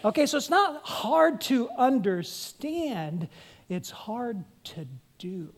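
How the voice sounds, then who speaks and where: A woman's voice: a little high, very animated, takes it slowly. A woman pastor delivering an earnest sermon, putting questions to her congregation and pressing her points.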